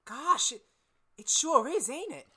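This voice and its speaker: A woman's voice: sits high, sing-song, unhurried, bright, forceful tone, heavily acted. A woman playing a timid, simple male laboratory helper in a radio horror play, stammering and pleading in folksy, ungrammatical speech. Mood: hesitant. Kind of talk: 1930s-style radio horror acting.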